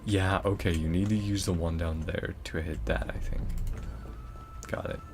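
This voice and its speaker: A man's voice: deep voice, fairly even intonation, normal speed. A man giving casual, off-the-cuff gameplay commentary, often muttering half to himself as he plays. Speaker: gaming video creator.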